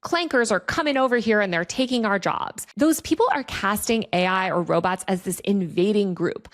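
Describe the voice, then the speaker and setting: An American woman presents a scripted explainer in a mid-pitched voice. She speaks articulately, like an online journalist delivering a video essay, and slips into looser, conversational phrasing in her asides. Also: normal speed.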